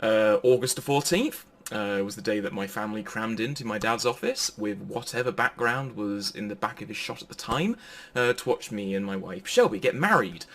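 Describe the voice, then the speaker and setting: A man's voice: fairly low pitch, animated, moderate pace, fairly bright tone. A man giving an informal, pre-recorded sermon in a chatty, jokey manner. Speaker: preacher.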